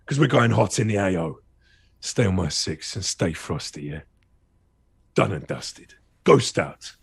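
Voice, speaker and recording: Man acting out a husky, gravelly special forces soldier. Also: sits low, very animated, unhurried, heavily acted. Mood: cocky, commanding. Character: gruff male special forces soldier briefing his squad.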